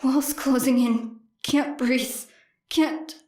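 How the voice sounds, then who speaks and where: A woman's voice: high-pitched, fairly even intonation, very slow, darkish tone, pitched above the performer's own voice, exaggerated voice. A woman voicing a wary, angry teenage schoolgirl with a curt, strained delivery in an audiobook. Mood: panicked. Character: angry, wary, foul-mouthed teenage schoolgirl prone to panic. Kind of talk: audiobook character dialogue.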